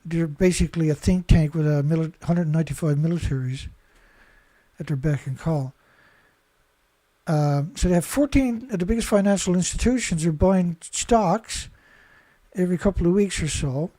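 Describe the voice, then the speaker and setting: Male commentator, rambling and indignant, dark tone, dry close sound. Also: fairly high pitch, moderate pace, no room sound. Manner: rambling, indignant, many restarts and "right?" tags. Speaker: commentator reading news. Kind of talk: casual monologue reading headlines with commentary.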